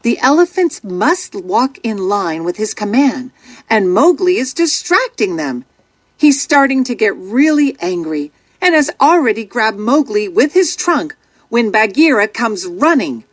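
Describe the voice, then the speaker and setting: A woman narrating a children's storybook from a script in an expressive, dramatic storytelling manner. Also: on the high side, measured pace, no room sound.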